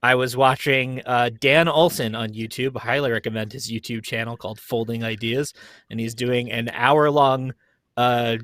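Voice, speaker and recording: A man in his thirties talks in a casual, rambling storyteller's way with a chuckle in it. His voice sits at a middle pitch and is recorded dry and close. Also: steady tone, moderate pace, fairly bright tone, no room sound. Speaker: podcast cast member. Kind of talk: casual chat. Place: basement.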